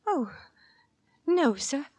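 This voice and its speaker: A woman's voice: high voice, big pitch swings, very slow, darkish tone, heavily acted. An actress playing a deferential, anxious landlady, servile and eager to please, in 1940s radio style. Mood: flustered, deferential. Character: Missus Bunting, deferential, anxious, married landlady. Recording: vintage 1940s radio drama.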